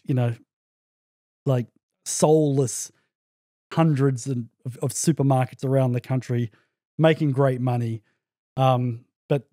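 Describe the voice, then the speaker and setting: Reflective Australian man, mellow and dry. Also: medium pitch, no room sound. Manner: reflective storytelling, searching for words. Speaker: podcast guest. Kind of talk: casual conversation.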